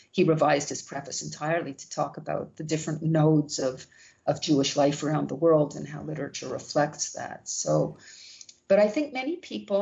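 A woman who founded a literary journal answering interview questions, talking gently with many restarts. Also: deep voice, vocal fry, highs cut off.

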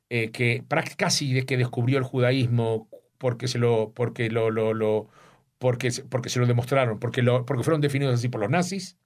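An older man speaks in a reflective interview, weighing his words and often restarting sentences. His voice sits at a middle pitch. He comes across as an author explaining his ideas at length. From Uruguay. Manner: thoughtful, searching for words, frequent restarts.

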